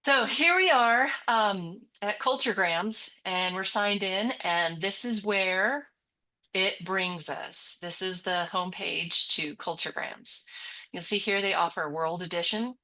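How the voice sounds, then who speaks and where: Bright-voiced woman giving a chatty demo. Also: medium pitch, slow pace, poor audio. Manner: chatty, giggly, upbeat. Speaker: library webinar co-host. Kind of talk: casual screen-share demo chat.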